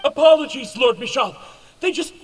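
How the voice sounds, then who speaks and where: Panicky underling soldier in a game, really high voice, echoey and a bit far off. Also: male voice, animated, normal speed. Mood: panicked. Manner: frantic, pleading. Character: panicked subordinate soldier apologizing to his lord. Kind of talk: English video game voice acting. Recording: distant, echoing hall, faint noise.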